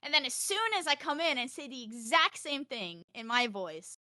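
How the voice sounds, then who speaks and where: Bright, high-pitched, loud young woman. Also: sing-song, moderate pace. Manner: outspoken, emphatic, swears casually. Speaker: podcast guest, gaming content creator. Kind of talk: casual chat, animated anecdotes.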